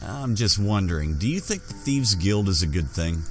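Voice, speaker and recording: A man speaking in character in a measured, deliberate way as he roleplays through a fantasy video game. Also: deep voice, animated. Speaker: gamer roleplaying as his character. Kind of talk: in-character roleplay gameplay narration. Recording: game music behind the voice.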